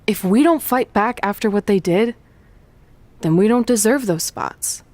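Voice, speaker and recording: A woman's voice: medium pitch, expressive, a little slow, a little mellow, no room sound, close to an everyday voice. A woman playing a determined, loyal pro wrestler in a video game cutscene. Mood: resolute.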